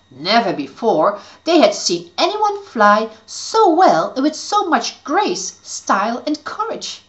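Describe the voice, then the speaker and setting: A woman reading her own story aloud to children in an expressive way. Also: a little high, highs cut off. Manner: expressive, lively read-aloud.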